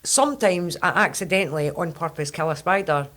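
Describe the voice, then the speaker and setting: A woman in her thirties with a Scottish accent and a low husky voice, hosting a podcast and telling animated stories while swearing freely. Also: fairly quick, crowd noise. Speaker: podcast host. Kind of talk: casual chat.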